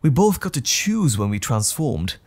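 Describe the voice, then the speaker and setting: A man playing a troubled, apologetic gentleman scientist in an audio roleplay drama, speaking in a formal, halting, anxious way. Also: mid-range voice, very animated. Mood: anxious, strained.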